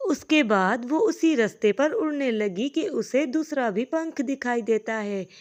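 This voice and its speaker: A woman's voice: fairly high pitch, animated, a little slow. A woman giving a scripted narration of a children's cartoon story.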